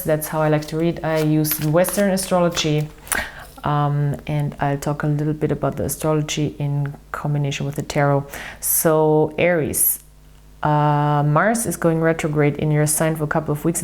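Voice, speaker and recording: A woman from Austria with an Austrian German accent, whose first language is German, giving a relaxed, chatty tarot reading to camera with frequent fillers. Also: low voice. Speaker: tarot reader.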